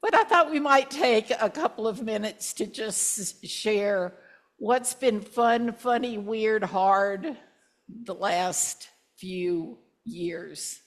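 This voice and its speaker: A woman in her sixties, a minister reminiscing to her congregation with gentle humor in a church. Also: mid-range voice. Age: 60s. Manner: slow, warm-humored reminiscing with asides. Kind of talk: reflective sermon with congregation sharing. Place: church sanctuary.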